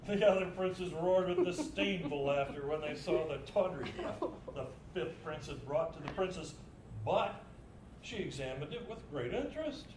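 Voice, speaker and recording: Higher-pitched male storyteller, roomy sound. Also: expressive, moderate pace, a crowd in the background. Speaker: narrator in a staged reading. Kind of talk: dramatized literary storytelling. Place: live staged reading in a room.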